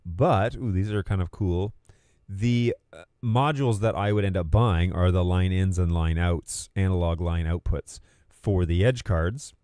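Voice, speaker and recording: A man with a fairly low voice and a very mellow, dark tone presents a technical walkthrough. He explains things informally, thinking aloud as he goes. The sound is very dry and close to the microphone. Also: a little slow, clean tone, no room sound. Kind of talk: casual live tech walkthrough.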